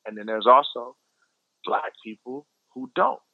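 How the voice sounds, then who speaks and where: An American man speaks in a casual, explanatory way, stressing points by repeating himself. His pitch sits in the middle for a man. The sound is very dry, with a dull, narrow band. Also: expressive, measured pace, gravelly, husky, dry recording. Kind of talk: casual conversational discussion, explaining at length.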